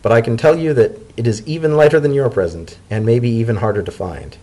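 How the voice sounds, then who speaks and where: A man voicing an anxious, affectionate male pony in a story reading, speaking in a hesitant, earnest and excitable way. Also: mid-range voice, fairly quick, lightly acted. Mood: warm.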